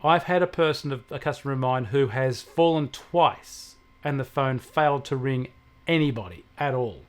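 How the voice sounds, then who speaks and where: A man's voice: medium pitch, normal speed. An Australian man giving conversational technology advice as an expert, emphatic and repeating points to stress them. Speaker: technology expert answering questions.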